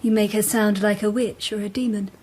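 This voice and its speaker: An actress playing a highborn, sceptical royal lady in a radio drama, formal and pointed. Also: fairly even intonation, fairly quick, a little mellow, husky, natural voice. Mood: sceptical, disapproving.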